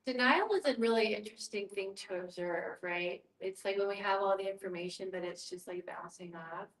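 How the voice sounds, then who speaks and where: A woman's voice: mid-range voice, moderate pace. A woman speaking casually and reflectively as a facilitator in a group discussion, often checking in with her listeners. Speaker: guest facilitator, hospice social worker. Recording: echoey room, distant from mic.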